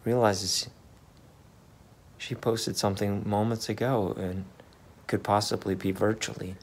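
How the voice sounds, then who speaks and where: A man's voice: fairly low pitch, fairly even intonation, measured pace. A man in his thirties playing a brooding, hesitant married father in a dramatic third-person monologue reading. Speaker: actor in a staged reading. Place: in a car, then beside a wall. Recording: car and ambient noise behind.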